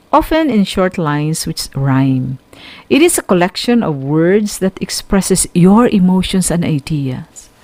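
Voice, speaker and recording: A woman with a Filipino accent giving a scripted radio lecture as a teacher, deliberate and instructional, speaking directly to her students. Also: fairly low pitch, a little mellow, dry recording. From Philippines. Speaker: teacher hosting a radio school lesson.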